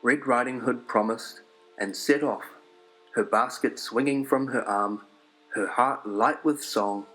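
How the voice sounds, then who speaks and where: Slow-paced New man telling fairy tales. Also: medium pitch, a bit bright, low-quality recording. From New Zealand. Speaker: storyteller, narrator. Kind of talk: scripted fairy-tale read-aloud narration. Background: music.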